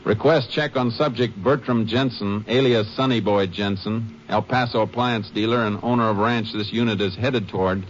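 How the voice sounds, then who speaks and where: An actor playing a calm, firm Texas Ranger. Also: male voice, fairly low pitch, normal speed, few pauses, close to an everyday voice. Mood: steady. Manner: calm, firm, matter-of-fact. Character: steady male Texas Ranger investigator. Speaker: Texas Ranger lead and narrator. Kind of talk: 1950s radio drama acting and narration. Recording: muffled vintage radio with faint hiss.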